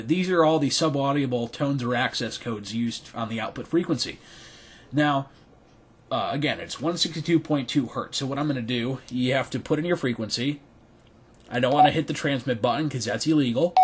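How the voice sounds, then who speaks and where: A man with a slightly husky, medium-pitched voice walks viewers through a hands-on demonstration. He speaks casually and thinks aloud, with a halting delivery. He is recorded indoors in a small bedroom. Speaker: video creator demonstrating radio gear.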